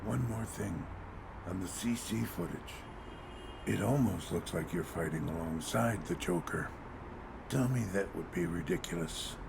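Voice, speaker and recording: An actor playing a weary, honest veteran police commissioner in a comic-book audio drama, gruff and gravelly. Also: male voice, low-pitched, lively intonation, unhurried, background noise, full character voice. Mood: weary, wry.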